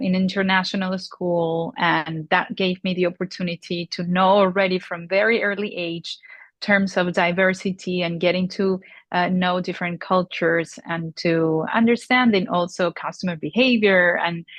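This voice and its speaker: A woman in her forties from Peru, answering interview questions in a halting way with many fillers and restarts. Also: lowish voice, fairly bright tone, no room sound. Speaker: luxury industry guest. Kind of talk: conversational interview.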